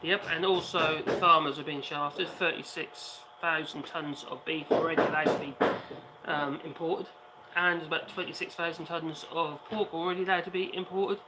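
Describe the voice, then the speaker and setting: A man with a hoarse voice co-hosting a casual livestream chat outdoors, sarcastic and fond of rhetorical questions. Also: a little high, steady tone, moderate pace, very noisy. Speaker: co-host, video channel maker. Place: outdoors on an island, sitting on cardboard.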